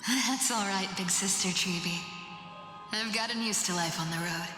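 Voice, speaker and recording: A woman's voice: medium pitch, normal speed, music in the background, lightly acted. A woman voicing a playful, teasing, worldly young female adventurer in a video game. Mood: breezy. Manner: teasing, breezy, sly. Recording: echoey hall reverb.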